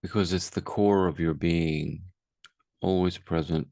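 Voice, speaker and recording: A man guiding a meditation as a teacher, speaking calmly and unhurriedly with long pauses. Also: low-pitched, vocal fry, no room sound, clean studio sound. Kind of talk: guided meditation, unscripted.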